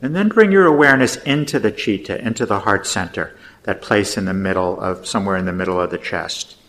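A man teaching meditation, giving gentle, unhurried guided instructions with pauses. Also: lowish voice.

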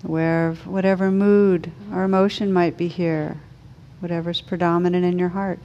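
A woman leading a guided meditation as a teacher, speaking slowly and soothingly with gentle pauses. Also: lowish voice, flat delivery, dull audio.

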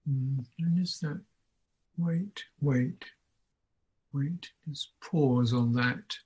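A man leading an unscripted guided meditation as a teacher, speaking in a contemplative way with long pauses between short phrases. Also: mid-range voice, a little mellow, husky, poor audio. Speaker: Buddhist monk, meditation teacher.

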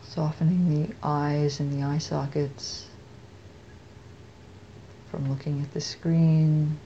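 An American woman leading a guided meditation as a teacher, speaking softly and unhurriedly with long pauses. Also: sits low, flat delivery, highs cut off, a little hiss or hum.

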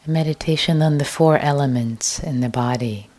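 A woman meditation teacher leading a guided meditation in a gentle, hushed way, leaving long pauses. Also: low voice.

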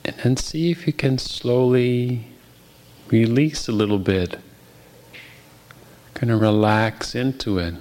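A man guiding a meditation as a teacher, speaking gently and unhurriedly with long pauses. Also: mid-range voice. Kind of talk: guided meditation.

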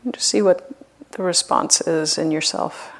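Female meditation teacher, lower register with noticeable vocal fry, gentle and unhurried, leaving long pauses. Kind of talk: guided loving-kindness meditation.

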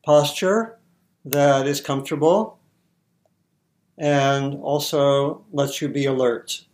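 A man teaching meditation, giving guided instructions in short, measured phrases with long pauses. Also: medium pitch, a little mellow.

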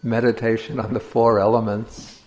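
A man teaching meditation, giving guided instructions in measured phrases with pauses between them. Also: lowish voice, little pitch movement, darkish tone, poor audio.

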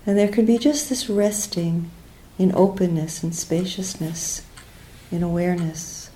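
A woman leading a guided meditation as a teacher, speaking gently and unhurriedly in short phrases with pauses between them. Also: lowish voice, slight background noise, rough recording.

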